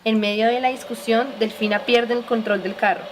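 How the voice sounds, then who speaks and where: A woman's voice: on the high side, steady tone, moderate pace, slight background noise, low-quality recording. A woman reading scripted narration for a radio drama.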